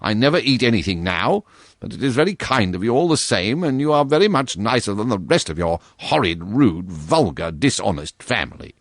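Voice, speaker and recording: A man's voice: fairly low pitch, big pitch swings, a little fast, no room sound, studio quality. A man voicing a petulant, pompous old aristocratic ghost in a storybook reading, haughty and self-pitying.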